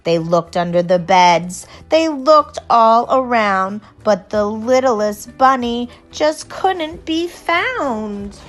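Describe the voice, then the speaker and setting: A woman reading a rhyming children's storybook aloud in an expressive, unhurried storytelling manner. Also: a little high. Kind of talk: storybook read-aloud in rhyme.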